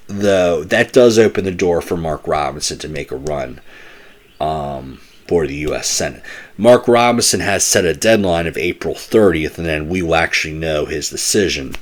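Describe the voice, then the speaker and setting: A man with a low voice that swings widely in pitch as he talks. He gives casual, opinionated political commentary, stumbling and restarting his phrases often. His voice sounds slightly hoarse. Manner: animated, opinionated, with frequent restarts.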